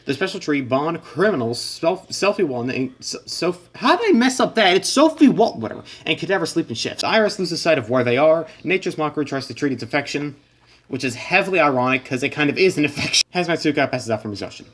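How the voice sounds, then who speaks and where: Male host for casual game commentary: quick, rambling, loose delivery with deadpan asides. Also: fairly high pitch. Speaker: host narrating a simulation.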